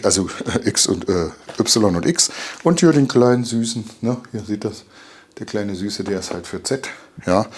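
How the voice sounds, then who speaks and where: A man's voice: lowish voice, a little mellow, slight background noise. A middle-aged man casually unboxing machine parts in a home workshop, chatty and enthusiastic, with lots of fillers. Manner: chatty, enthusiastic, frequent fillers and tag questions. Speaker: video maker building a CNC mill.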